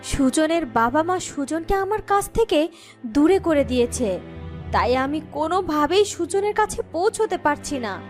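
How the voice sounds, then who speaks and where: High-pitched, vengeful serpent queen voiced by a woman. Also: big pitch swings, measured pace, faint music behind. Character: possessive, vengeful serpent queen in human form.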